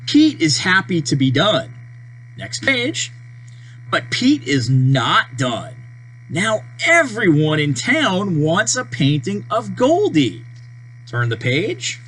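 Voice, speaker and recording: A person reading a children's storybook aloud, with deliberate, simple phrasing and page-turn prompts. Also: mid-range voice, poor audio. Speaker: storybook reader. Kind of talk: children's read-aloud narration. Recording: steady mains hum behind voice.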